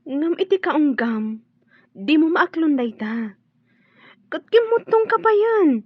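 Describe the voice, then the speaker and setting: A woman playing a high, blunt young woman. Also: lively intonation, takes it slowly, no echo. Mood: prodding. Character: young sister-in-law, blunt and prodding. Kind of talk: radio drama acting. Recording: dry muffled studio audio.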